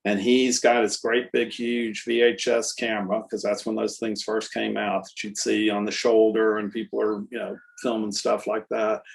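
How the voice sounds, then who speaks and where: A man in his sixties, a guest artist and musician, telling stories in a relaxed, rambling way with lots of fillers. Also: lowish voice, moderate pace, gravelly, hardly any pauses. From Texas. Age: 60s. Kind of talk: casual conversational interview.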